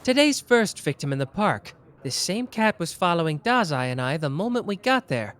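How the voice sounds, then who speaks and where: A man voicing a sharp, playful young detective in an ASMR roleplay, teasing and quick-witted. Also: on the high side, big pitch swings. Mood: probing. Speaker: voice actor.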